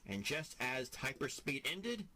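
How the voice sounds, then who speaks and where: Male narrator, dramatic scripted storytelling, unhurried pace, lively pitch swings, very noisy recording. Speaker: narrator of a fan audio drama.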